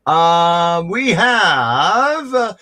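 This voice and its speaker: Chatty man, lively pitch. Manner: chatty, rambling, quick bursts of excitement. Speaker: co-host of a comics livestream. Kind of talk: casual chat.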